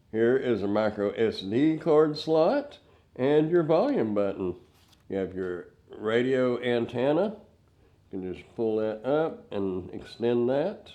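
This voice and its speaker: An older man with a mellow, soft-edged voice at a medium pitch talks through a gadget review. He is casual and plain-spoken, explaining each step in simple terms. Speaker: product reviewer. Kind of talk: casual hands-on product review.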